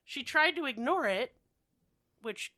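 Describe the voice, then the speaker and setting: High-voiced, foul-mouthed woman telling stories. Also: expressive, slow, sharp tone, no echo, clean studio sound. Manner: profane, sardonic ranting. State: sick with a cold-like illness. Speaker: podcast host. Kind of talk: casual comedic storytelling.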